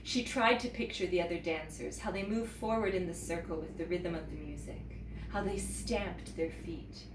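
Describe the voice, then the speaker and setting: A woman teacher reading a children's story aloud in an expressive, animated way, pausing to check in with listeners. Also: on the low side. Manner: expressive, pauses to ask listeners questions. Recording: roomy echo, distant mic, faint noise.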